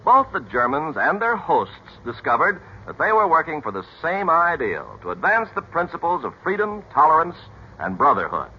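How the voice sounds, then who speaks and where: A man's voice: mid-range voice, breathy edge. An American man reading scripted announcements in the manner of a mid-century radio announcer. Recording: muffled vintage radio broadcast.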